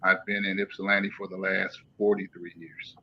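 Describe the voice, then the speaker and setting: A man of sixty-two from Detroit with an American accent, giving a measured, halting personal account as a panel guest. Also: low-pitched, flat delivery. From Detroit, Michigan, USA. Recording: dull lo-fi line with clear background noise.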